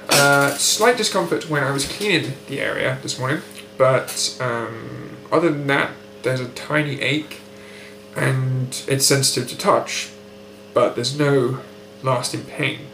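A young British man with a blocked, nasal voice, speaking in an articulate, measured way for a recovery video diary. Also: medium pitch, vocal fry, bright, forceful tone. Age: young adult. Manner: articulate, precise, unhurried. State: nasal, blocked nose after surgery. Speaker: patient keeping a recovery diary. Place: indoor room at home by a window. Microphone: built-in webcam mic, close framing. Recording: echoey room with faint hum.

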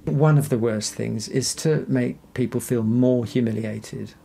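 A man being interviewed as an expert, explaining things in a gentle, reassuring and tentative way. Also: medium pitch, measured pace, gravelly, husky. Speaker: psychiatrist, interview guest.

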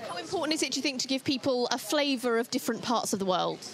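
A woman's voice: high-pitched, animated, fast talker. A young woman presenting a live on-location interview into a handheld microphone at an outdoor food festival. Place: open tent at an outdoor street food festival. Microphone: handheld reporter microphone.

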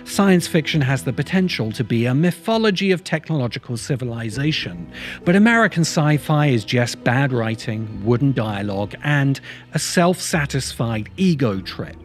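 Middle-aged man, expressive essay narrator. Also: mid-range voice, moderate pace, soft background music. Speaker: host of a science fiction video essay channel. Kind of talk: scripted video essay narration. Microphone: large microphone close in front of him.

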